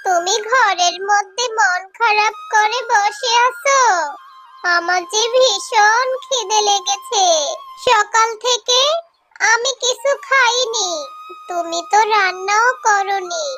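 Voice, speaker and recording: A little fox girl from a children's cartoon. The voice is extremely high and bright, whiny and childlike, drawn out slowly. Music plays behind it. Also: exaggerated voice. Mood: hungry, pleading. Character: hungry little fox girl, obedient and pleading.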